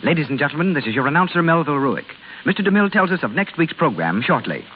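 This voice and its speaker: A man's voice: a little high, very animated, fast. A man working as a radio announcer, reading out credits in a formal 1930s broadcast style. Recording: tinny, hissy 1930s radio recording.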